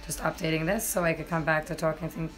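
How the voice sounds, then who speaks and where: A slightly hoarse woman hosting a casual live stream about fights, chatting to viewers with lots of fillers. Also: sits low, little pitch movement, brisk, soft background music. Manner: chatty, many fillers, addresses viewers directly. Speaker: MMA journalist and live-stream host.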